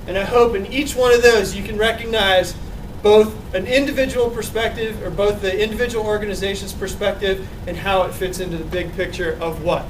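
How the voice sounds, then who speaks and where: A man giving a group slide presentation with an energetic, repetitive emphasis, recorded from a distance. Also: sits high, moderate pace. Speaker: student presenter. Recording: distant, with faint noise.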